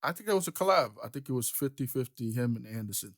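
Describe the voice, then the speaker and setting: An easygoing man hosts a relaxed conversation, chuckling often between short questions and musician's stories. His pitch sits in a middling range for a man, with nothing unusual in the sound. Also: fast. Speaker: podcast host and keyboard player. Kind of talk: casual interview chat.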